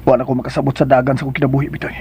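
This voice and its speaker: An actor playing a brooding, commanding master in a radio drama, speaking in a curt and insistent way. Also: male voice, medium pitch, flat delivery, racing, darkish tone, a little hiss or hum, lightly acted. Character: brooding, commanding male boss in a wheelchair.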